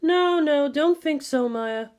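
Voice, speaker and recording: A cool senior woman scientist acted by a female reader, high in pitch and measured in delivery. She lectures dryly, like a world-weary anime mentor guiding her junior. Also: a little mellow. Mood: dry chiding. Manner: measured, didactic, dry. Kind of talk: anime fan fiction character voice.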